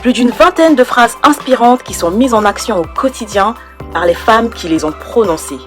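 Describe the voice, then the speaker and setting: Woman reading a smooth promo spot. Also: fairly high pitch, animated, normal speed, a bit bright, faint music behind. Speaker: ad voice-over. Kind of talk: scripted promotional read.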